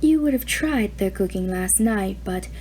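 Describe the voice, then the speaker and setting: A woman voicing a poised woman executive who speaks calmly and softly. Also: mid-range voice, unhurried, a little hiss or hum.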